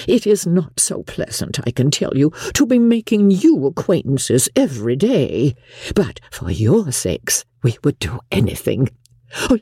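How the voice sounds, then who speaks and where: A woman voicing an excitable, querulous middle-aged mother in an audiobook of a classic novel, shrill, gushing and complaining. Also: husky, no echo, clean studio sound, heavily acted.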